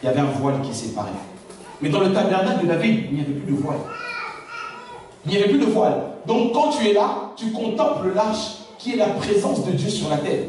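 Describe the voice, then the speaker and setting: A man with a fairly high voice preaches a sermon in a large, very echoey church. His native language is Lingala, and he keeps asking the congregation whether they understand. He is heard from some distance, with faint background noise. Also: big pitch swings, a little fast, darkish tone. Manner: preaching with rhetorical questions to the congregation. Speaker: pastor.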